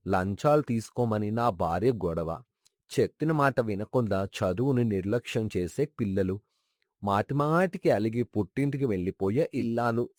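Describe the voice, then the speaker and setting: A man's voice: medium pitch, a little mellow, no echo, clean studio sound, natural voice. A man playing a sincere, sentimental colleague and neighbour of a late father, earnestly reminiscing in a moral story. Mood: regretful, weary. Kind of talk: dramatized moral story acting.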